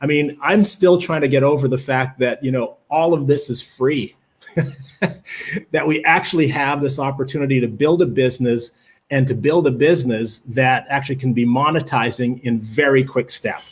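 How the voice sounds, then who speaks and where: A man's voice: mid-range voice, moderate pace. Upbeat Canadian-accented man on a live stream. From Jamaica, raised in Canada. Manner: enthusiastic, rambling with many fillers. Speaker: livestream presenter. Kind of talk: live webinar talk reading chat.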